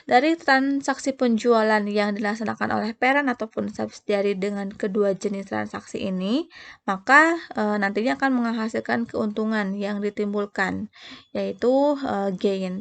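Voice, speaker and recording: A woman giving an explanatory lecture as a tutor, speaking haltingly and often correcting herself. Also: mid-range voice, creaky. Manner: halting, with repeated self-corrections. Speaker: accounting tutor.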